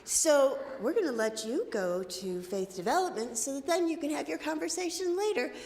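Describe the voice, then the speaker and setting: High-voiced woman leading a children's time. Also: lively intonation, moderate pace. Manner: warm, playful, inviting children. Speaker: worship leader. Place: church sanctuary. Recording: echoey sanctuary with long reverb.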